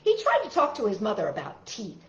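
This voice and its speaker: A woman hosting a storytime for children, reading aloud in an expressive, casual way and putting questions to young listeners. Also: mid-range voice, brisk, reverberant, highs cut off. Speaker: storytime host reading picture books to children.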